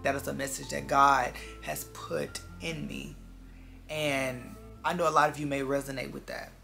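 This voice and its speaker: Vlogger, twenties, gravelly fry with a bright edge, earnest reflective chat to viewers over background music. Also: medium pitch, level tone, moderate pace. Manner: earnest, confiding, repeats phrases for emphasis.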